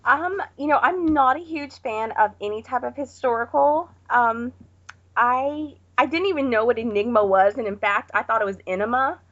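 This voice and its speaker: A woman's voice: a little high, moderate pace, a bit bright, lo-fi sound. A woman chatting casually about films as a podcast panelist. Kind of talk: casual film chat.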